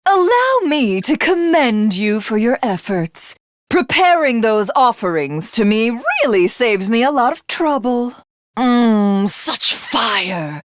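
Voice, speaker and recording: A high-voiced, grandiose alien villainess from a video game, swings dramatically in pitch. She takes her time over condescending, theatrical lines, full of cruel self-worship and obsessive love. The sound is dry and close. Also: dry recording. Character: haughty, sadistic alien villainess, obsessively lovestruck, self-glorifying.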